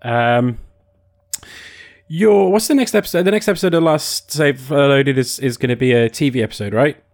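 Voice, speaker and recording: A man's voice: mid-range voice, moderate pace, darkish tone. Brit guy hosting a podcast, upbeat, chatty, steers the talk. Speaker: podcast host. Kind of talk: casual chat.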